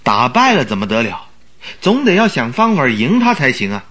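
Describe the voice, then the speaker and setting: Radio drama sidekick: bright, forceful voice, lively swoops, earnest, excitable, forever questioning. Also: male voice, medium pitch, normal speed. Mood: anxious, questioning. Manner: questioning, exclamatory. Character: earnest, excitable male sidekick, puzzled and admiring. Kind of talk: Mandarin radio drama acting.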